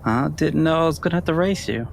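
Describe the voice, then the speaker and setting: A man's voice: on the high side, fast talker, vocal fry, a little hiss or hum, pitched above the performer's own voice. A man playing a courteous, smiling bakery worker with a cheerful, polite way of talking.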